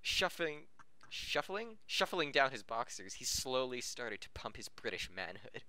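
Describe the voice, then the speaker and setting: A man's voice: mid-range voice, sing-song, measured pace, sharp tone, dry recording. A man reading a story aloud as an amateur podcast host, giggly and tripping over words. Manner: stumbles over the text, giggly.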